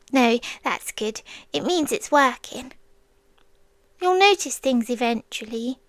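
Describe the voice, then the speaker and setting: A British woman playing a possessive, childlike female sea demon in an ASMR roleplay, speaking in a soft, breathy, coaxing voice that often drops to a whisper. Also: high voice, no echo. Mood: tenderly reassuring. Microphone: close microphone, dry.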